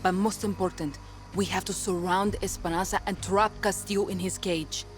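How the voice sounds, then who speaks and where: A woman playing a resolute, earnest guerrilla leader in a video game, giving a firm, measured, commanding briefing. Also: mid-range voice, fairly even intonation, lightly acted. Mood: determined, grave. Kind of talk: video game character acting. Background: music.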